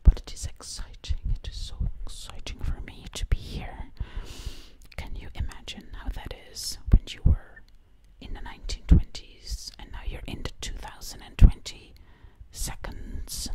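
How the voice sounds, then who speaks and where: Young woman, ASMR roleplay, breathy close-up whisper, unhurried, rhythmic word repetition, hushed. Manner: repeats words and phrases rhythmically, slow and hushed. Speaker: ASMR performer playing a time-travelling zombie princess. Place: dim home room, indoors. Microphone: close ear-to-ear microphone.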